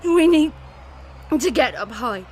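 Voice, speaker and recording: A woman playing a desperate, grieving survivor in an audio drama, speaking in halting, urgent phrases. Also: high voice, animated, a little mellow, slight background noise. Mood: urgent, distressed. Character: desperate, grieving woman survivor leading her family in zombie apocalypse. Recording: music under the voice.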